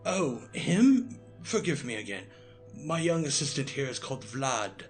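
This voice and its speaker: An actor playing a genial, sly old wizard who commands an institute, speaking in a theatrical, breezy, courtly way in an audio drama. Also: male voice, mid-range voice, big pitch swings, a little slow, soft background music.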